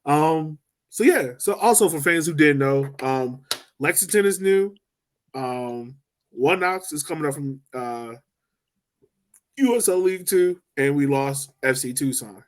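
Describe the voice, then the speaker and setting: A man's voice: on the high side, expressive, a little slow. A man hosting a casual sports podcast in a joking, informal, slangy way. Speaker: podcast host.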